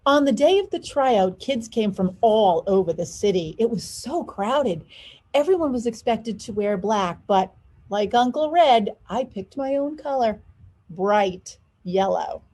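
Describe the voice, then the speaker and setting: A woman reading a children's storybook aloud in an expressive, dramatized storytelling manner. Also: medium pitch, measured pace. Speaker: school leader reading aloud.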